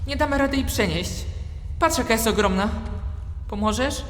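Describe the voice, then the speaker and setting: An actor playing a nervous, excitable young sidekick in a fantasy audio drama, eager and high-strung. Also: male voice, normal speed, slight background noise. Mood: anxious pleading. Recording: echoey long reverb, music behind.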